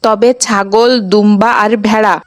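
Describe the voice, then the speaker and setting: A woman's voice: mid-range voice, steady tone, slow, no echo, clean studio sound, lightly acted. A woman voicing an earnest, tender-hearted young boy in a children's Islamic cartoon. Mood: gently admonishing.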